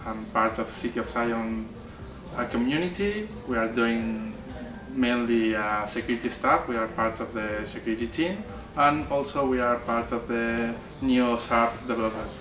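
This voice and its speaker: A man's voice: mid-range voice, normal speed, over music. A man introducing himself hesitantly. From Spain. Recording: roomy, distant mic. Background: room ambience.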